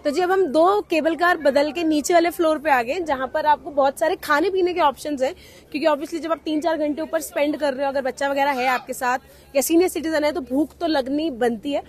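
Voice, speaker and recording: A woman's voice: sits high, fairly quick. A woman in her thirties talking to a handheld camera outdoors, chatting casually as a travel vlogger and slipping English phrases into her talk. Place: outdoors at a mountain cable car station. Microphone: handheld camera at arm's length.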